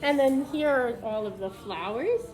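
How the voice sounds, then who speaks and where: A woman preschool teacher reading a picture book aloud to young children, pausing to ask them questions in an instructive way. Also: a little high, lively intonation, darkish tone, rough recording.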